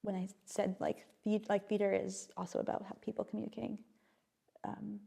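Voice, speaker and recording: A soft-voiced woman, a playwright guest on a podcast, talking in a hesitant, reflective way with many fillers and restarts. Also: medium pitch, flat delivery, brisk, creaky, husky. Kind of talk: casual podcast conversation.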